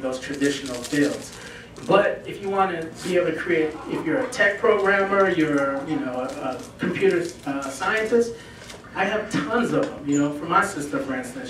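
Man, 30s, from Chicago, earnest guest talk, higher voice, distant mic, very echoey room. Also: a bit bright, a little hiss or hum. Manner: earnest, conversational, many ums. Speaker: guest speaker, film festival founder. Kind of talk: speaking to a club meeting. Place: meeting room at a lunch gathering.

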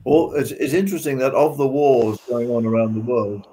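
A man's voice: medium pitch, normal speed, dark tone. A man giving his views casually as a panellist in a discussion over a video call. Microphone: video call microphone. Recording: video call with faint noise, clicks.

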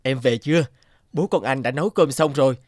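A man voicing a gentle, caring husband and father in a cartoon, soft-spoken and reassuring. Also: medium pitch, a little fast, no room sound, clean studio sound, faint music behind, lightly acted. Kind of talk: animated story voice acting.

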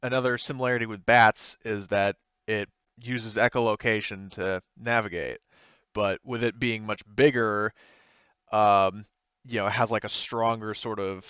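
A man improvising tabletop game narration as game master, explaining in a hesitant, halting way. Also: on the low side, steady tone, fairly bright tone, smooth, dry recording, lo-fi sound.

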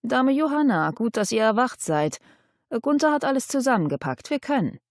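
Audiobook figure: vain gentleman of the court, smooth-mannered and condescending, played by a woman. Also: fairly high pitch, very animated, moderate pace, no room sound, studio quality, voice pushed up. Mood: brisk. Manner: polite, condescending. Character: vain, smug, courtly nobleman.

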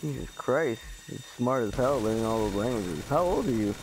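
A young man jokes through voice chat during a game, slangy and bossy. His pitch moves around animatedly. Clear background noise sits under the recording. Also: expressive, normal speed. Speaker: gamer in voice chat. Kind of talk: casual gaming banter.

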